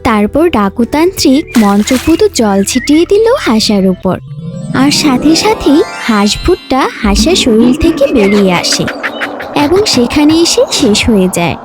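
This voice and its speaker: A woman voicing a firm but indulgent animal mother in a storytelling cartoon, expressive and motherly. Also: a little high, moderate pace, music in the background.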